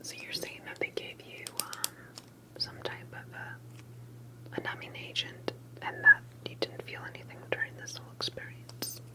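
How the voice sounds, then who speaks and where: A woman's voice: unhurried, low-quality recording. Woman whispering an ASMR interview roleplay. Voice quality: soft breathy whisper. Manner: gentle, careful questioning with murmured confirmations.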